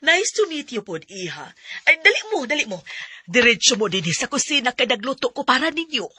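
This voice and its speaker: A high, husky Cebuano mother-in-law. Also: big pitch swings, fairly quick, bright, forceful tone, heavily acted. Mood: gushing, welcoming. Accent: Cebuano Filipino. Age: middle-aged. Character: middle-aged mother-in-law, gushing, fiercely protective of her son. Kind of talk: Bisaya radio drama acting.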